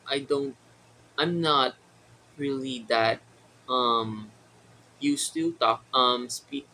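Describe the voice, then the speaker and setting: A young man with a Filipino accent talks slowly to his viewers, stopping often and filling the gaps with ums. His voice is bright and forward. A faint hiss sits under the recording. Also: medium pitch, poor audio. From Philippines. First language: Tagalog. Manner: hesitant, many pauses and fillers. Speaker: vlogger. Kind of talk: casual solo talk to camera.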